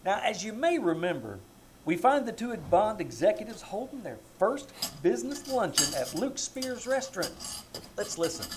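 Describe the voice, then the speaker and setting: A man's voice: high voice, very animated, normal speed, slight background noise, low-quality recording. A man reading scripted narration as the announcer of an old-time radio show. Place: small-town theater stage.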